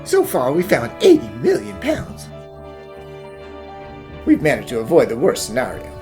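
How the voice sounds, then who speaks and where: A woman voicing a brisk, self-assured bank executive in a cartoon fan dub, with a businesslike yet theatrical delivery. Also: low voice, wildly swooping pitch, over music, heavily acted. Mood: brisk, relieved. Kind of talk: animated mystery fan dub acting.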